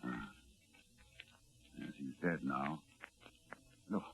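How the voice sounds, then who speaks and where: An actor playing a grave, haunted doctor, halting and intense, recounting horror in a 1940s radio play. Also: male voice, rumbling low, creaky, a bit bright, full character voice. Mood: shaken, grave. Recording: muffled old radio, music behind. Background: dramatic music.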